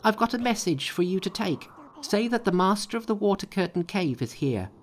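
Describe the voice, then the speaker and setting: A man's voice: high-pitched, sing-song, moderate pace, a little hiss or hum, pitched above the performer's own voice. A man voicing a cocky male monkey king in a storybook reading, boastful and taunting. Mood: defiant.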